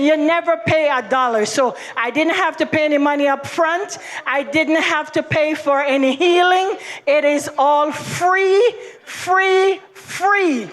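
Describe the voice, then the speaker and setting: High-voiced Jamaican woman giving testimony. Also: fairly bright tone. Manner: fervent, praising, repetitive. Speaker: testimony giver. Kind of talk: church healing testimony.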